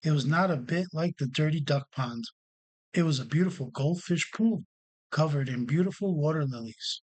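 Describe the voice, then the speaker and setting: Guy reading a kids storybook out loud, voice a bit on the high side, scripted narration. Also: moderate pace. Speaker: children's storyteller.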